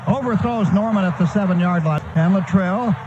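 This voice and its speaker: A man's voice: high voice, normal speed. An American man calling a live football game on the radio in clipped, urgent, staccato phrases. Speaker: radio play-by-play announcer. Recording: noisy, dull lo-fi radio broadcast.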